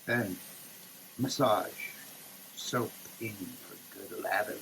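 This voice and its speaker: A man giving a comedic dramatic reading of a product label, theatrical and over-emphatic, with halting delivery. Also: on the low side, steady tone, creaky, background noise. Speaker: comedic performer.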